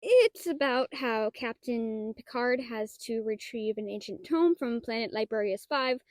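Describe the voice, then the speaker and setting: High-pitched, shy, flustered dragon-girl librarian voiced by an actress. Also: animated, measured pace, no room sound. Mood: sheepish, evasive. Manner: hesitant, flustered, stammering. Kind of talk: roleplay audio drama, one-sided dialogue.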